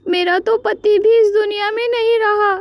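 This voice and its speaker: A woman voicing an anguished, pleading mother crow searching for her chick in an animated moral story. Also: very high voice, moderate pace, dry recording, voice pushed up. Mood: distraught. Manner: pleading, lamenting.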